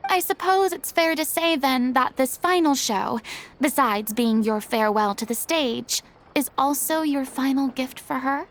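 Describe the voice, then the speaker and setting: Casting: a poised young ex-star, high and slightly gravelly, formal and theatrical, soft music behind. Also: female voice, expressive, unhurried, slight background noise. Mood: solemn. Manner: formal, articulate, gently theatrical. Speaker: former performer advising a theater troupe. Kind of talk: game cutscene voice acting.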